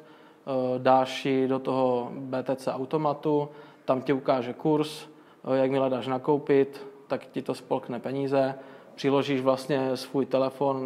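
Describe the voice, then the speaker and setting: Flat-voiced man explaining step by step. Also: medium pitch. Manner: flat, explanatory, many fillers and restarts. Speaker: guest, crypto magazine owner. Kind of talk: casual interview answers.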